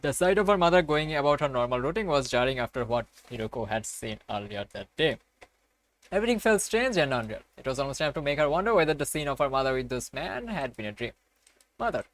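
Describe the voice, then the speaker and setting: A man's voice: mid-range voice, lively intonation, fast. A man hosting a game playthrough, reading a visual novel aloud with casual commentary.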